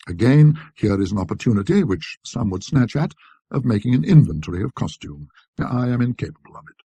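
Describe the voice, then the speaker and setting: A man with a husky, raspy voice narrating a classic literary ghost story in a precise, scholarly, measured manner. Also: fairly low pitch, dark tone, no echo.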